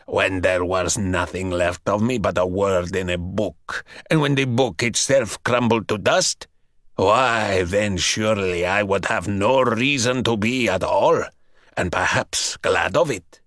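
A man voicing an ancient buried male vampire who speaks in slow, mocking, archaic phrases. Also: on the low side, a bit bright, dry recording, studio quality, pitched below the performer's own voice. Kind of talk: audiobook character voice.

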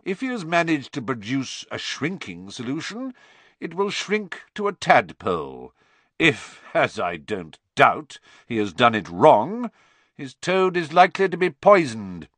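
A man's voice: mid-range voice, wildly swooping pitch, a little slow, a bit bright, dry recording, pitched above the performer's own voice, heavily acted. A man reading aloud and voicing a cold, sneering adult male potions master with an icy, disdainful delivery. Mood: cold contempt.

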